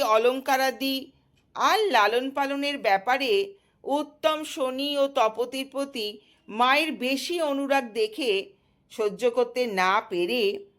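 A woman storyteller narrating a scripted mythological tale in an expressive, dramatic manner. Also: high voice.

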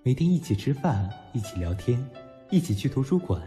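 A man playing a blunt, teasing young university student who is quick to flare up, in an audio drama that he also narrates. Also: mid-range voice, big pitch swings, mellow, close to an everyday voice. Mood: reflective narration. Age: young adult. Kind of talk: Mandarin audio drama acting and first-person narration. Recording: background music under the voice.

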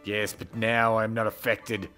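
Slow-paced man thinking aloud over a game. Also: on the low side, flat delivery, pushed and bright. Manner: thinks aloud, muttering through decisions. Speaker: gaming channel host. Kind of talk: casual let's play commentary. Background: game music.

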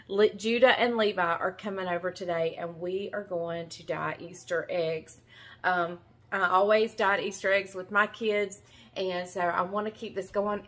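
A woman's voice: medium pitch, sharp tone. A woman in her fifties, a grandmother, chatting casually to camera in her home kitchen, rambling with plenty of fillers. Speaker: farm vlogger, grandmother. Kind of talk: casual vlog chat. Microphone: camera close up, then further off at the counter.

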